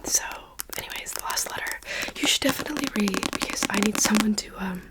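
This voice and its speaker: A woman's voice: medium pitch, fairly quick, rough recording. A young woman whispering a chatty, dramatic book review in an ASMR style.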